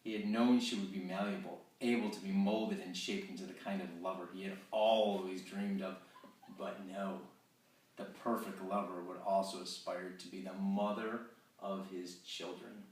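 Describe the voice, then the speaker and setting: A man reading narration from a romance novel aloud in a steady, measured way. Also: low voice. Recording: roomy, distant, faint hiss.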